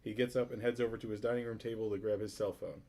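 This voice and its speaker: A man's voice: on the low side, level tone, quick pace, mellow. A man reading stage directions as narrator of a sitcom table read.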